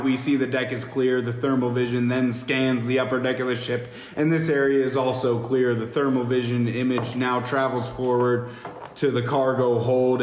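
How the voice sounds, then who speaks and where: A man hosting a podcast, reading screenplay stage directions aloud in a halting, jokey amateur way with stumbles. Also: medium pitch. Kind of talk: amateur screenplay table read. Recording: echoey reverberant room with faint hiss.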